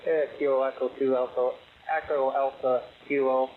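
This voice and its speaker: A man's voice: medium pitch, flat delivery, normal speed. A man new to amateur radio, making brief casual exchanges over a radio link. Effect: received over shortwave radio. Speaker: beginner amateur radio operator. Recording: narrow-band radio signal, clear static.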